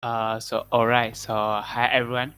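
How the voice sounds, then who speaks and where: A young man introduces himself in an online English class. He speaks slowly and evenly in a clean, clear voice, pausing and hesitating as he searches for words. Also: mid-range voice, flat delivery, pushed and bright. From Vietnam. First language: Vietnamese. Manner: hesitant, halting learner's English. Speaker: student. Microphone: online voice chat.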